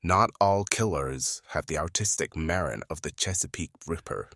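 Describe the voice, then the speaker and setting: A woman voicing a weary, guarded male FBI profiler in an audio drama, tired and deliberate, her voice pitched down to sound masculine. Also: a bit bright, no room sound, clean studio sound, exaggerated voice. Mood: defensive. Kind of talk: fan audio drama acting.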